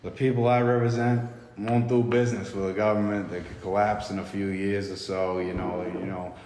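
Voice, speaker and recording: A man's voice: lowish voice, little pitch movement, normal speed, darkish tone, close to an everyday voice. Man acting shady recruiter, pushy, impatient, foul-mouthed, reverberant room, faint noise. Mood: curt, menacing. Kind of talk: amateur table read of a film script.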